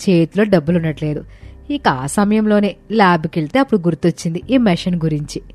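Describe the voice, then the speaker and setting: A woman reading the dialogue of a family story in a bright, explaining tone, with soft music behind. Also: fairly low pitch, animated, a little fast. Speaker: narrator voicing characters.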